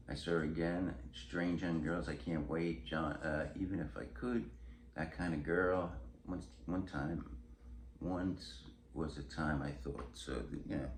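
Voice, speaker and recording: Deep-voiced older man in an echoey room. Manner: rambling, chuckly, blunt. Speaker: host of a music collecting vlog. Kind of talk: casual show-and-tell chat. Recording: roomy echo with clear background noise.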